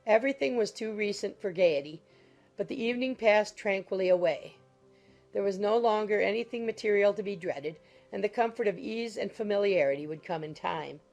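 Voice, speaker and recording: A woman narrator reading a classic novel in a measured, literary storytelling manner. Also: medium pitch, vocal fry. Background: soft music.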